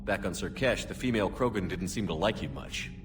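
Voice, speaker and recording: An actor playing a steadfast human military commander in a video game, speaking firmly, directly and seriously. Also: male voice, low-pitched, fairly quick, far from the mic, background noise, natural voice. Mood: probing. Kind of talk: video game cutscene acting.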